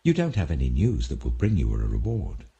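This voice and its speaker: A man's voice: rumbling low, wildly swooping pitch, fairly quick, gravelly, dark tone, heavily acted. A man voicing a harsh, impatient ancient army commander in a scripture audiobook, blunt and commanding. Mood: firm, dismissive.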